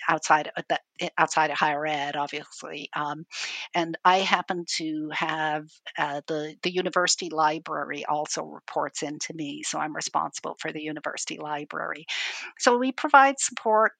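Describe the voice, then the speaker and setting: A woman's voice: low voice, normal speed, creaky, fairly bright tone, no room sound. A woman from Ireland, a university IT chief, explaining her work at length in a casual interview, with frequent restarts.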